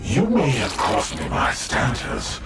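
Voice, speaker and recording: An actor playing a gloating, patient, monstrous chaos villain in a video game, with a gravelly, slow, theatrical delivery. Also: male voice, mid-range voice, huge pitch swings, heavily acted. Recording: distant, echoing, under loud music.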